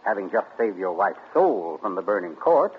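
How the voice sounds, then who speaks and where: A gloating radio-play sleuth, 1940s. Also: male voice, low-pitched, big pitch swings, highs cut off. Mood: smug. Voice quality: theatrical, deliberate, relishing. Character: suave, gloating male amateur sleuth. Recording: scratchy 1940s radio broadcast.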